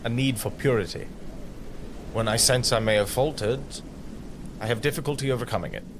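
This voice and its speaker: An actor playing an earnest, virtuous knight with a tormented sense of honor in an audio drama. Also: male voice, medium pitch, brisk, background noise, lightly acted. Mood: solemn, pained. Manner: earnest, solemn, expressive.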